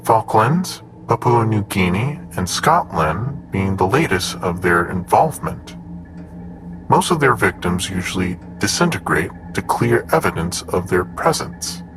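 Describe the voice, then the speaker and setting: A man playing a composed android assistant in an audio drama, speaking in a clinical, measured, matter-of-fact manner. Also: sits low, expressive, over music, poor audio. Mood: clinical, informative. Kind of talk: scripted audio drama dialogue.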